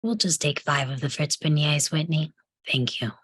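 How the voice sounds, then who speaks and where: Woman acting cool comedy rival, low voice, controlled, pointed, table read, dry sound. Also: normal speed, a bit bright, breathy edge, dry recording. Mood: coolly challenging.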